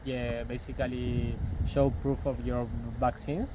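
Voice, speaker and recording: A man's voice: medium pitch, flat delivery, a little slow, noisy background. A young man from Argentina whose first language is Spanish, speaking English with an Argentinian accent and answering interview questions hesitantly, often repeating words.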